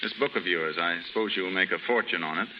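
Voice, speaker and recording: An actor playing an earnest, protective and quietly wounded man in a 1930s radio drama, sincere and plain-spoken. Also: low-pitched, quick pace, close to an everyday voice. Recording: muffled, reverberant, hissy 1930s radio recording.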